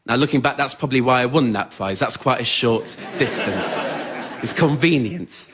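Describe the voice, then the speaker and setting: An Englishman performs a scripted personal story with a comic edge. He speaks in a mid-pitched voice as a storyteller on a theatre stage, playing to a live audience. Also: normal speed, a bit bright, breathy edge. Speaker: writer-performer of a one-man spoken word show.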